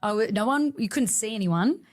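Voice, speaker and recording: A woman's voice: fairly high pitch, expressive, fairly quick. A woman, a studio owner telling her story with feeling in a podcast interview. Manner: emotional, emphatic storytelling.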